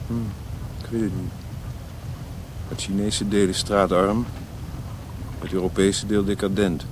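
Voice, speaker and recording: An actor playing a world-wise, withdrawn man in a Dutch radio drama, speaking in a measured, reflective, cautionary way. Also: low-pitched, fairly even intonation. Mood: sombre warning. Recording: noisy old radio play recording.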